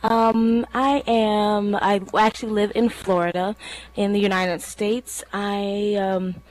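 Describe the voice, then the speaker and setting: A woman's voice: medium pitch, level tone, slow, poor audio. A woman from Thailand, a singer, chatting casually as an interview guest.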